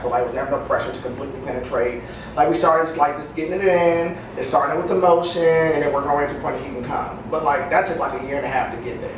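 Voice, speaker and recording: Candid chatty man in an echoey room. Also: fairly high pitch. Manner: candid, advice-giving, chatty. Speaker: podcast panelist. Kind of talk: casual chat. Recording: echoey room, mic far off, noisy.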